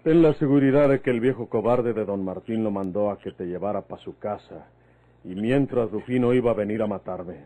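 An actor playing a plain-spoken, fatherly older country man with a rural Mexican accent in a vintage radio drama, telling things in a folksy, unhurried way. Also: fairly low pitch, close to an everyday voice. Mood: firm, explaining. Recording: muffled phone-like old radio recording.

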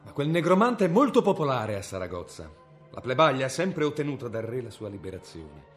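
An actor playing a stern, cold medieval inquisitor in a radio drama, speaking in a severe, commanding, formal way. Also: male voice, medium pitch, very animated, brisk, soft background music, close to an everyday voice.

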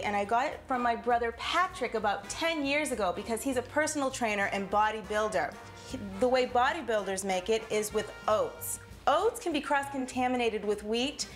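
A woman in her thirties hosting a TV cooking show in a studio kitchen, explaining each step with upbeat rhetorical questions. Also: fairly high pitch. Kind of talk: scripted TV cooking demonstration. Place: home-style studio kitchen, indoors.

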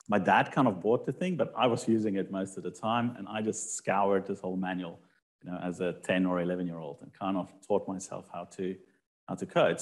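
A man with a South African accent recounts his early years in a loose, chatty way. His voice sits on the low side for a man, and he talks like a founder giving a live talk. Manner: meandering, relaxed, with fillers and light laughs. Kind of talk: casual storytelling presentation. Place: event hall talk.

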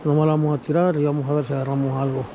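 A chatty man narrates his fishing trip to his viewers, talking to them as friends. His voice sits a little high. The body-worn camera picks up clear wind and outdoor noise around him. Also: racing, phone-quality sound. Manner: chatty, addresses viewers as friends, excited when fish bite. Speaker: fishing vlogger. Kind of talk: casual outdoor commentary to viewers. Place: outdoors on a frozen reservoir and by a creek. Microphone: action camera worn on the body.

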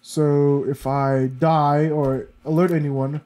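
A man's voice: fairly high pitch, flat delivery, slow pace, mellow. A sniffly, congested man giving casual gameplay commentary, muttering to himself as he plays. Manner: muttering to himself while playing. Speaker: let's play gamer.

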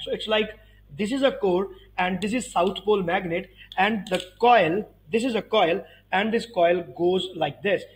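A man's voice: sits high, poor audio. A man in his thirties giving an explanatory physics tutorial, emphatically repeating key phrases. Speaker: physics teacher.

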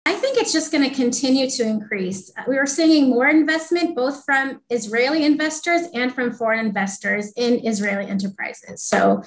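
Guest: upbeat woman with a Southern US accent, thirties, fairly high voice, video call. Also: normal speed, few pauses. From Atlanta, Georgia, US. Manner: upbeat, articulate, occasional filler ums. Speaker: marketing communications partner. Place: room by a bright window.

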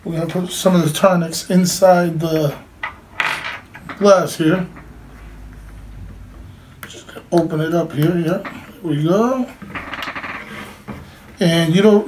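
A man's voice: high voice, a little mellow. A middle-aged man talking casually and plainly to camera as he gives a hands-on demonstration indoors. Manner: informal, plain-spoken. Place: indoors, small room with cloth backdrop. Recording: clearly noisy home recording.

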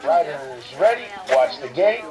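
A man's voice: fairly high pitch, big pitch swings, unhurried, recorded from a distance, very noisy. A man giving formulaic start-gate calls, picked up by a camera outdoors. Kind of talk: repeated formulaic race start call. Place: played outdoors at a BMX start ramp. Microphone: camera mic a few metres away.

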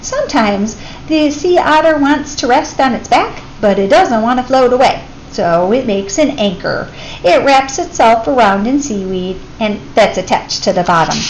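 A woman reading a children's picture book aloud in an expressive teacher's manner, addressing young listeners. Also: on the high side, moderate pace, low-quality recording. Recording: clear background noise.

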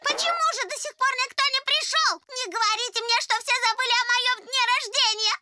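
Cute, self-absorbed young female animal for a kids' cartoon, extremely high and sweet, over music. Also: fast talker. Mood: puzzled, worried. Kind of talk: children's cartoon dub.

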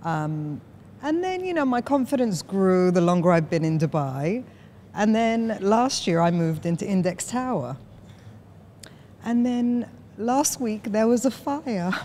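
A woman hosting a podcast interview, chatty and quick to laugh, often filling with "like", on a boom-arm studio mic in an office lounge. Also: expressive, warm tone, a little hiss or hum. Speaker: podcast host. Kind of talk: conversational interview.

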